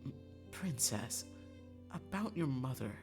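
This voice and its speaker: Streamer acting soft, gentle young man. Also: medium pitch, lively intonation, takes it slowly, faint music behind. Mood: hesitant.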